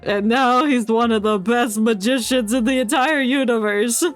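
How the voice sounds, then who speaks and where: A woman's voice: fairly high pitch, fairly even intonation, moderate pace. Playful woman streamer, faint music behind. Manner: joking, playful reactions. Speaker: game streamer. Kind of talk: casual live game commentary. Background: faint game music.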